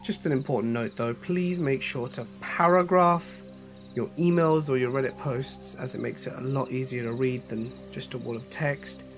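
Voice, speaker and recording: A man's voice: medium pitch, moderate pace. Male horror narrator with a slight cold, over music. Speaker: host and narrator of a horror story channel. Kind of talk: scripted first-person horror story narration and channel sign-off.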